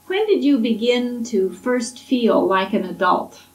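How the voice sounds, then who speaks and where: Soft-spoken woman interviewer, distant. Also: on the high side, sing-song, a little slow. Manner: encouraging, soft-spoken, frequent affirming murmurs. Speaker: oral history interviewer. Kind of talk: interview questions. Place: college library. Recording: echoey library room from a distance.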